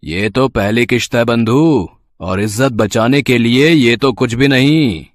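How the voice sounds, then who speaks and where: A man's voice: mid-range voice, measured pace, dry recording, natural voice. Guy doing a menacing blackmailer in a story, taunting threats, dry close mic. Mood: mocking menace. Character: menacing, mocking male blackmailer.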